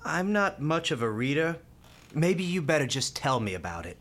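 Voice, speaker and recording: A man's voice: on the high side, very animated, moderate pace, a bit bright. An actor playing a cheerful, kindhearted male hospital volunteer in a radio play, folksy, playful and talkative. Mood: easygoing.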